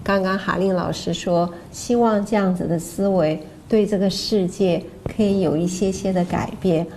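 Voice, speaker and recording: Mellow, low-pitched woman. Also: fairly even intonation, moderate pace, a little hiss or hum, rough recording. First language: Mandarin Chinese. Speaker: course co-presenter and interpreter. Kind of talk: informal explanation to camera.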